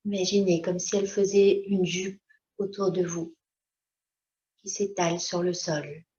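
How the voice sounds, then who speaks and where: A woman teaching yoga nidra, leading a slow guided meditation in a calm, unhurried way with short, gentle instructions. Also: lowish voice. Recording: dull, lo-fi sound.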